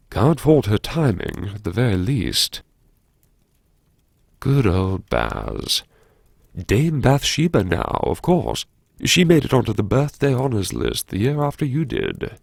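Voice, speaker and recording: A man playing a brooding, wry academic who talks to himself in an intimate, sardonic audiobook monologue full of regret, with a gravelly voice. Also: lowish voice, darkish tone, no room sound, clean studio sound, exaggerated voice. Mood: wry, fond. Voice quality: gravelly, creaky. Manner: sardonic, musing, self-reproachful, with sighs and pauses. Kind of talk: first-person audiobook monologue, intimate dramatic narration.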